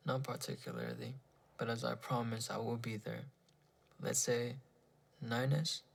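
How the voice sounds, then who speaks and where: A man playing an earnest scientist husband in a horror story, reassuring and insistent, with a gravelly voice. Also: medium pitch, very animated, unhurried, full character voice. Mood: coaxing. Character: earnest male scientist husband pleading and reassuring his wife. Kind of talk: dramatized horror narration dialogue.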